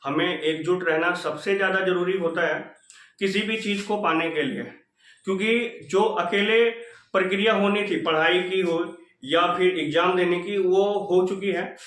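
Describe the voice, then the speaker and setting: Male channel host, high voice, earnest and repetitive rallying talk, roomy echoing recording. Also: steady tone, a little slow, a bit bright. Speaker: channel host addressing exam candidates. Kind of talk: informal motivational update talk.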